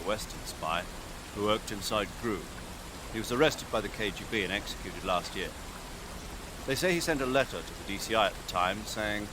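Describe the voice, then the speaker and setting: An actor playing a sombre, well-informed military adviser in a video game, giving briefings in a measured, grave way. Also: male voice, on the low side, background noise, lightly acted.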